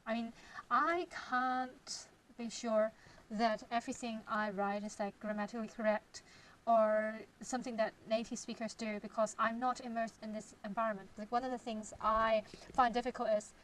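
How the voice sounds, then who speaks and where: A woman's voice: on the high side, little pitch movement, normal speed, fairly bright tone, a little hiss or hum, poor audio. A young woman with a Cantonese accent, a writer chatting on her vlog, thinking aloud and sometimes dropping to a whisper. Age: young adult. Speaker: vlogger and novelist. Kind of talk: casual vlog, reading aloud.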